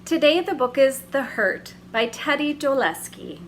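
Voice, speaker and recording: A woman hosting a children's story hour, reading a picture book aloud in a gentle, expressive way with drawn-out emphasis. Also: high voice, a little hiss or hum.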